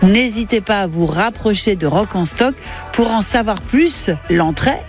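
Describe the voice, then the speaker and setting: A woman radio columnist reading out an agenda of summer events in an upbeat, lively way. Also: mid-range voice, a little slow, over music. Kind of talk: read radio segment.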